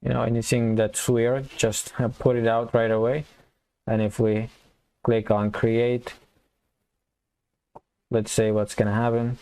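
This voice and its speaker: A man giving a casual coding tutorial, thinking aloud and explaining step by step in an informal, halting way. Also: mid-range voice, little pitch movement, dark tone, smooth. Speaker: programming tutorial instructor.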